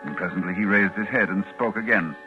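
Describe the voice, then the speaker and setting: An actor playing a dignified, fearful university scholar, with dramatic educated delivery in a 1940s radio horror drama. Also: male voice, low voice, brisk, lo-fi sound, natural voice. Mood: haunted, dramatic. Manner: dramatic, educated, hesitant when alarmed. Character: learned male university librarian, dignified, appalled and fearful. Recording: band-limited 1940s radio recording with music.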